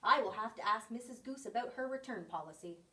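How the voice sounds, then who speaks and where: A woman's voice: fairly high pitch, animated, fairly quick, pushed and bright, recorded from a distance. A woman voicing a grumpy, solitary male bear from a picture book, stern and grumbling. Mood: dry, put out.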